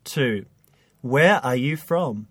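A man reads scripted test items for language learners at a very unhurried pace. His pitch is average and his enunciation careful. The sound is dry, without room echo. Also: lively intonation, a bit bright. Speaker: English listening test reader. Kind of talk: scripted language-lesson reading, each item repeated.

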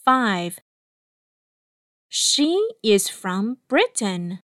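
A woman reading an English listening test aloud with careful, clear delivery, saying each item twice. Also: mid-range voice, sing-song, drawn-out words, a bit bright, no room sound, clean studio sound. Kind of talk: slow, deliberate test dictation.

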